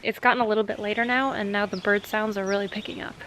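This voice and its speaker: A woman's voice: mid-range voice, steady tone, fast, a bit bright, slight background noise. A woman reporting and narrating in the style of a travel documentary.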